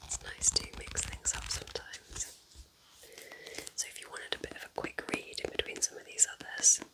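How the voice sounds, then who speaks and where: Whispering woman, soft ASMR. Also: low-quality recording. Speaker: ASMR host playing a kind witch recommending books.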